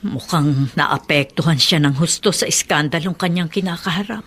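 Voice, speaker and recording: A woman playing an older mother in a radio drama who calmly reassures her worried husband. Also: low-pitched, moderate pace, breathy edge. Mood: reassuring. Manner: soothing, reassuring.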